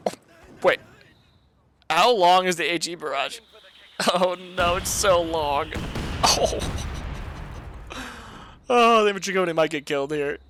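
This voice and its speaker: A man casually commentating a strategy game replay, excitable and laughing often, talking to his chat, his voice a bit hoarse. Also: high voice, unhurried, pauses often. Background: video game gunfire and artillery.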